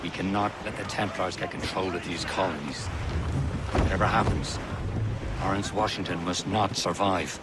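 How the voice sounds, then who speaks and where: A man's voice: low voice, steady tone, normal speed, close to an everyday voice. A man playing an earnest, loyal first mate in a video game, giving serious briefings. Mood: grim. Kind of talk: video game cutscene acting. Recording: noisy sea and ship ambience.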